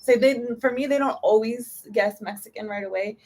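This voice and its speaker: A woman's voice: on the high side, normal speed, a little mellow. A young woman from the United States hosting a live stream, chatting in a casual, upbeat way and dropping in Spanish phrases.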